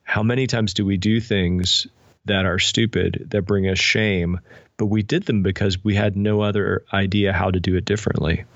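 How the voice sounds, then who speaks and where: A man speaking as an interview guest in a thoughtful, measured, explanatory way. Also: sits low, little pitch movement, no echo, muffled recording. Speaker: podcast guest, spiritual director and author. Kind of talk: reflective interview conversation.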